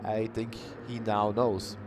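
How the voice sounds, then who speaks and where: Man calling a sim race qualifier, steady and plain, over background noise. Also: fairly low pitch. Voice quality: calls himself monotonous. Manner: unhurried, plain-spoken, bursts of cheering. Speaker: race commentator. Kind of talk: live sim racing commentary. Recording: noisy stream audio.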